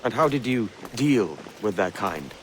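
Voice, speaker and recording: An actor playing a cocky young pirate bluffing as a gentleman in a video game, guarded and affectedly courteous. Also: fairly low pitch, very animated, unhurried, a little hiss or hum. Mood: probing, polite.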